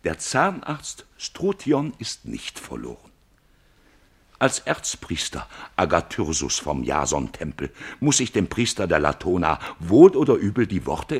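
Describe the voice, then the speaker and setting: A man's voice: low-pitched, husky, full character voice. An actor playing a scheming, rhetorical male archpriest in a 1950s radio play, declaiming and swelling into emphatic outbursts.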